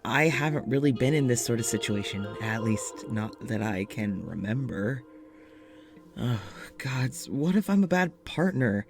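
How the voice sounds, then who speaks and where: An actor playing an anxious, self-doubting male doctor in an audio drama, rambling haltingly and self-deprecatingly through a confessional monologue. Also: mid-range voice, lively intonation, soft background music.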